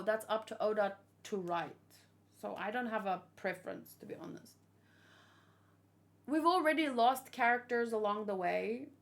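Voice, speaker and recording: A woman chatting casually and unscripted, thinking aloud and asking questions as she hosts a reaction video. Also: fairly high pitch. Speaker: manga reaction host.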